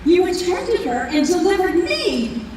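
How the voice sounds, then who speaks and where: A woman's voice: very high voice, big pitch swings, unhurried, darkish tone, full character voice. A woman playing an earnest, protective grown daughter in an old time radio drama. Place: convention hall stage. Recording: echoey hall, distant, faint noise.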